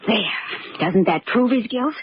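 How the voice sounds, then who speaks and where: An actress playing an eager, loyal confidante in a 1940s radio mystery, earnest and insistent in a theatrical way. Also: female voice, fairly high pitch, animated, slow pace. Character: eager loyal woman confidante turned amateur sleuth. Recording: dull, noisy old radio recording.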